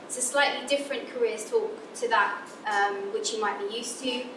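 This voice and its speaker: A friendly woman academic with a southern English accent talks students through her career, hesitating with ums as she goes. Her slightly high voice carries from a distance in an echoey lecture hall. A low rumble sits clearly underneath. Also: normal speed. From Scotland. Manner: friendly, chatty, many ums and restarts. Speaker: guest speaker, academic physicist. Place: lecture room. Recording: echoey lecture room from far off, background rumble.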